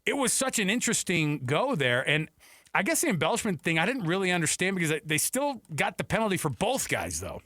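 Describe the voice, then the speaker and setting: A man's voice: high voice, wildly swooping pitch, a little fast, a bit bright, no echo. A man hosting a sports radio show, talking casually in a rambling, anecdotal way.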